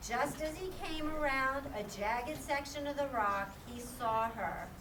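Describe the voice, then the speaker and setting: A woman's voice: high-pitched, unhurried. A woman narrating a scripted children's puppet show as a storyteller. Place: live performance in a room, far mic. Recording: distant, echoey room with clear noise.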